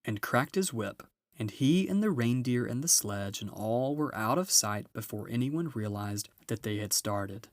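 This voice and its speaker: A man's voice: mid-range voice, expressive, unhurried, no room sound, clean studio sound. A man reading a children's novel aloud as scripted narration. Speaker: storybook reader.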